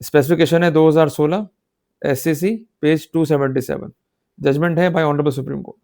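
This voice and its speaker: A man's voice: on the high side, steady tone, normal speed, creaky, a little mellow. A man lecturing in an explanatory style, emphatic and exhortative, often checking that his listeners are following. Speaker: law lecturer. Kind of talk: explanatory lecture mixing Hindi with English legal terms.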